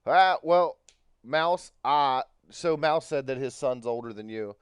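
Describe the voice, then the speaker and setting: Casual streamer guy, thirties, smooth dry voice, rambles with lots of um and uh. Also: medium pitch, slow pace, no echo. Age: 30s. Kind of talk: casual livestream chat.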